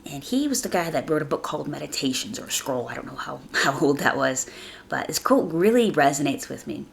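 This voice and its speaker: A woman hosting a casual motivational podcast, speaking conversationally and earnestly, slightly raspy from a chest cold. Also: sits low, animated, a little fast, a little hiss or hum. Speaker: podcast host.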